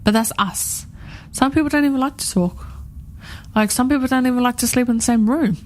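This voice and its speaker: A woman's voice: a little high, fairly quick. A woman in her thirties co-hosting a radio chat show, talking in a rambling, heartfelt way and repeating herself often. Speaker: radio co-host.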